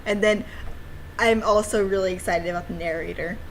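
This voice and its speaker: A woman with a mid-range voice chats casually on a livestream as an amateur game developer. She rambles playfully and drops in cutesy exclamations. There is clear noise behind her voice. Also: medium pitch, steady tone, normal speed, poor audio.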